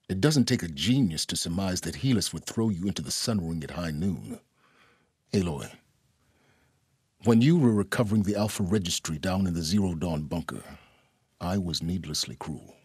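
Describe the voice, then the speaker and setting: A self-serving, secretive scholar from a video game. His voice is heavily husky and very expressive in pitch, recorded close and dry. He speaks in a measured, articulate way with cool condescension. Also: dry recording, exaggerated voice. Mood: detached, matter-of-fact. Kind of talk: dramatic video game voice acting.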